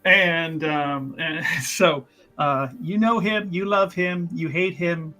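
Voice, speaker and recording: Bright, higher-pitched joking man. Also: steady tone, takes it slowly, soft background music. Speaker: player in a tabletop game show. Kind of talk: casual, joking self-introduction.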